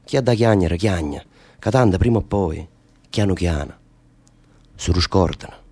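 A man's voice: low voice, lively intonation, normal speed, darkish tone, husky, full character voice. An actor playing a brooding, fatalistic man facing death, speaking earthy, insistent dialect with a southern Italian accent in a theatre drama. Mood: bitter, mournful. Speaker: stage actor in a radio theatre play.

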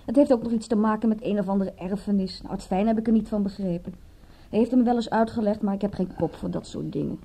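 An actress playing a flippant, defensive young woman in a radio drama, with a soft, husky voice. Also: mid-range voice, quick pace, close to an everyday voice, rough recording.

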